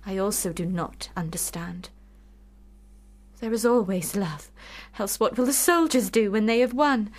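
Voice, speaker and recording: An actress in a period radio drama playing a lovelorn, sharp-witted sister, speaking with formal, deliberate, cutting irony. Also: female voice, medium pitch, close to an everyday voice. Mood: wounded, insistent.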